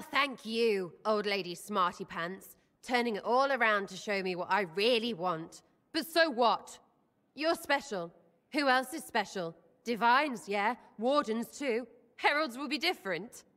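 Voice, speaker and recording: A young female elf rebel in a fantasy video game, fairly high in pitch with an English accent. She is brash, emotional and irreverent, talking in blunt, cheeky slang. Her voice rings with long hall reverb. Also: animated, a little slow, a bit bright. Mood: exasperated, tender. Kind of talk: video game cutscene acting.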